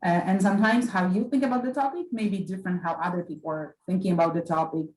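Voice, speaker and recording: A middle-aged librarian walks new students through database searching. She pauses often, restarts her sentences and fills the gaps with "uh". Her voice sits low and rings a little in an echoey room. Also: a little mellow, a little hiss or hum. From Poland. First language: Polish. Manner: hesitant, many fillers and restarts. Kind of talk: library orientation talk.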